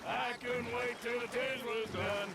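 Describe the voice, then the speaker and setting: An actor playing an aging outlaw in a game cutscene, drawling and singing old folk songs outdoors. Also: male voice, extremely high pitch, little pitch movement, measured pace, heavy background noise. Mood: bawdy singing. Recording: loud outdoor game ambience over voice.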